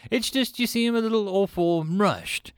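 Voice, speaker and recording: A man's voice: high-pitched, measured pace, clean tone, no echo, clean studio sound, voice pushed up. A man voicing an easygoing, slightly sheepish human office worker who talks casually and hesitantly in a story. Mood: puzzled, concerned.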